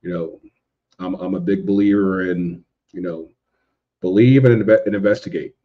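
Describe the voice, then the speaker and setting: A man's voice: sits low, steady tone, normal speed, phone-quality sound. A man co-hosting a podcast, giving his take in an informal, casual conversational way.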